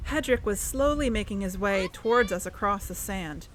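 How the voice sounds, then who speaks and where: An actress playing an earnest, uneasy young woman investigator, narrating her own story in a measured, confessional way for an audio drama. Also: mid-range voice, animated, fairly bright tone, slight background noise, lightly acted, rough recording. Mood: tense, apprehensive. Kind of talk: scripted first-person audio drama narration.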